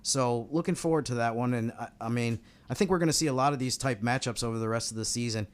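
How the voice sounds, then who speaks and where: American guy on a sports podcast, casual basketball chat, medium pitched voice. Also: quick pace. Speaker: sports podcast co-host and analyst.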